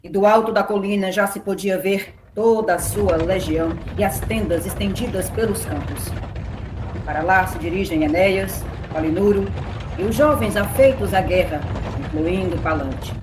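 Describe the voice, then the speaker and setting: A woman's voice: mid-range voice, normal speed, echoey room, background noise. A woman narrating a scripted dramatic story as the narrator of an audio drama. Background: music.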